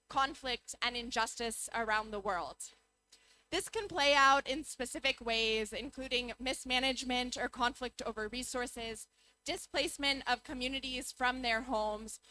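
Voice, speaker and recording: Bright high-voiced young American woman. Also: poor audio. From United States. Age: young adult. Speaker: youth climate speaker. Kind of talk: prepared conference talk. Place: conference pavilion.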